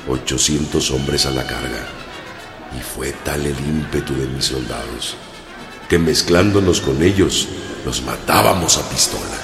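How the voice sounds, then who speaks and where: An actor playing a weary, gruff, commanding revolutionary general with a Mexican accent in a radio drama. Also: male voice, a bass voice, heavily acted. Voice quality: gravelly. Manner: terse, commanding. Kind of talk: Spanish-language radio drama acting. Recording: voice over loud dramatic music.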